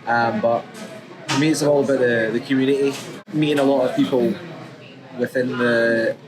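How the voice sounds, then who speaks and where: A man from Scotland with a Scottish accent, talking in a relaxed documentary interview and tagging his thoughts with "you know" and "right.". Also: medium pitch, moderate pace, low-quality recording. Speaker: supporters' group volunteer. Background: music.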